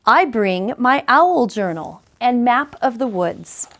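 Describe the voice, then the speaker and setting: A woman reading a children's picture book aloud in a deliberate, measured way with dramatic pauses. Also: a little high, sing-song, a bit bright. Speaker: story reader, school superintendent.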